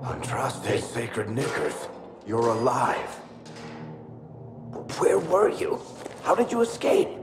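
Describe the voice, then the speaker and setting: An actor playing a wry, roguish dwarf in a video game, wisecracking and sardonic. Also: male voice, mid-range voice, big pitch swings, slow pace, pauses often, loud music behind the voice. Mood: astonished.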